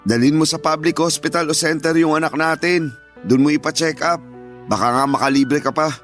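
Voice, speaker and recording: A man acts out a tired, dismissive Filipino working husband in a radio drama. His voice runs a little high, exasperated and evasive. There is faint music behind him. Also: a little fast, fairly bright tone, close to an everyday voice.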